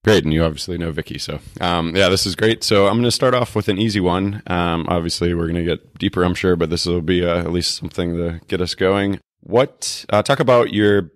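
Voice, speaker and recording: Very deep-voiced relaxed podcast host. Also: male voice, a little fast, hardly any pauses, no room sound, clean studio sound. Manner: relaxed, laughs easily. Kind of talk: casual group podcast.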